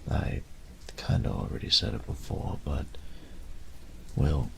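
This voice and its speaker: Man playing a very deep, gravelly tender roommate. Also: sing-song, slow pace, slight background noise, exaggerated voice. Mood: nervous, earnest. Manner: soft breathy murmurs, teasing pet names. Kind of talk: intimate romantic audio roleplay. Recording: rain and thunder ambience behind voice. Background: rain and thunder.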